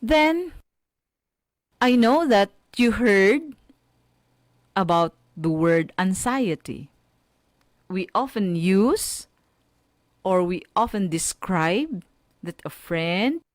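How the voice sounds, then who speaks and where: A woman with a Filipino accent giving an educational radio lecture as a teacher, speaking in deliberate short phrases and putting questions to her students. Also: mid-range voice, no room sound, studio quality. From Philippines.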